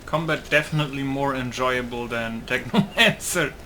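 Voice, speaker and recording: A man giving a casual let's play commentary, thinking aloud in a halting way. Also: mid-range voice, bright, forceful tone. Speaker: gaming YouTuber. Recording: clear game noise behind. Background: video game ambience.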